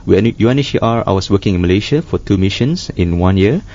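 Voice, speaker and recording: A man speaking as a humanitarian worker guest in a panel discussion, halting and full of restarts. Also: lowish voice, animated, a little mellow. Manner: halting, many restarts and fillers. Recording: dull lo-fi studio sound.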